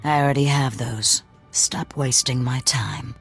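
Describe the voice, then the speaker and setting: A woman playing a languid, sadistic crime boss in a video game, with a purring, teasing, drawn-out delivery that drops into whispers. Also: extremely low pitch, gravelly, slightly rough, soft background music, heavily acted. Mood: dismissive. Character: sadistic, languid crime boss lady who savors cruelty.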